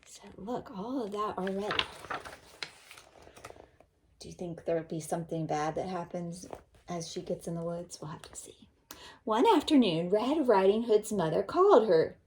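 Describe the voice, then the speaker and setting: A woman teacher giving a read-aloud lesson for children, patiently explaining things slowly to young listeners. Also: mid-range voice, lively intonation, darkish tone, slight background noise.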